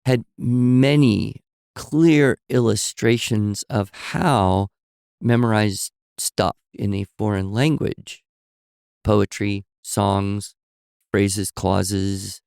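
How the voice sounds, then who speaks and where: A man co-hosting a podcast, telling stories in a relaxed conversational way and explaining with examples and asides. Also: fairly low pitch, extremely slow, creaky, dry recording, studio quality. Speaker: podcast co-host. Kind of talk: conversational storytelling.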